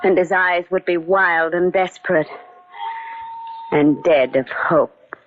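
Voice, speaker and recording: An actress playing a weary, ailing, high-strung gray-haired woman in 1940s radio drama, fragile and haunted. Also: slow, faint music behind. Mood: weary dread. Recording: noisy, dull 1940s radio recording.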